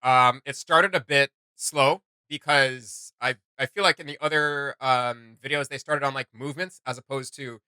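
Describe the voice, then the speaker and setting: A man's voice: medium pitch, steady tone, moderate pace, few pauses, sharp tone, clear, resonant tone, dry recording. A man hosting a reaction video, enthusiastic and slangy, thinking aloud in casual commentary.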